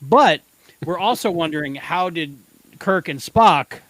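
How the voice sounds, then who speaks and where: A man co-hosting a casual fan podcast, speaking informally in halting, hesitant phrases. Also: a little high, expressive, a bit bright, no room sound, poor audio. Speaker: podcast co-host.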